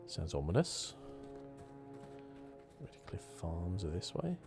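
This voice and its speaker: A soft-spoken man giving relaxed, mildly chatty ASMR commentary as he plays a video game. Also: low-pitched, slow, gravelly, music in the background. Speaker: gaming channel host.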